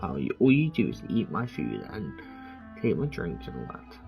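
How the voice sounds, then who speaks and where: A woman's voice: very deep voice, big pitch swings, normal speed, gravelly, muffled recording, soft background music. A woman gamer making joking, teasing comments while playing. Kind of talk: casual gameplay commentary.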